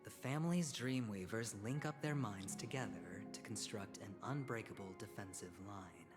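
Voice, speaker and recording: A man playing a sly, smooth-talking schemer in a video game, deliberate and insinuating in manner. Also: fairly low pitch, lightly acted. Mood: ominous, insinuating. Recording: game audio with music underneath.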